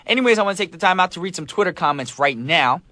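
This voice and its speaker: A man's voice: high-pitched, animated, fast, sharp tone, no echo. A man hosting a live radio show in a chatty, casual style with playful asides, close to a studio microphone.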